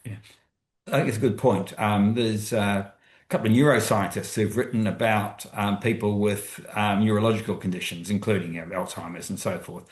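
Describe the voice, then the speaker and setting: A man's voice: on the low side, steady tone, brisk, echoey room. A man with an Australian accent, speaking as a guest author in a webinar, thoughtful and hesitant with many fillers. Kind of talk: webinar conversation. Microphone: video call.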